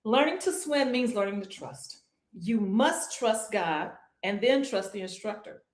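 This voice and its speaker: A woman who teaches swimming, answering interview questions in a calm, reassuring way and repeating her key points. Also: medium pitch, measured pace. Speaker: swim instructor. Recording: echoey room.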